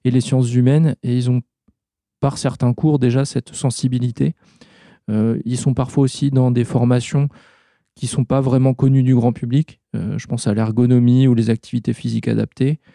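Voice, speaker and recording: A man organizing a science festival, answering interview questions in a measured, explanatory way with frequent restarts. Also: mid-range voice, gravelly, dark tone, no echo, studio quality.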